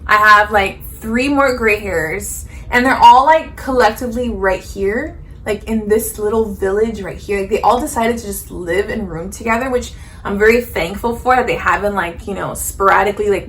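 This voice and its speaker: A woman in her thirties chatting casually to the camera while doing her makeup, animated and full of fillers and jokey asides. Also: mid-range voice, moderate pace, low-quality recording. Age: 30s. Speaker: beauty vlogger.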